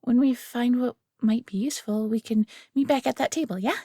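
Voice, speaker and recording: Breathy, chatty, woman playing a nervous fox companion. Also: a little high, brisk, warm tone, no room sound, studio quality. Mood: friendly, nervous. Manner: hesitant, awkward, chatty. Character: friendly, nervous young fox companion on a fantasy quest. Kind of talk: fantasy audio roleplay addressed to listener.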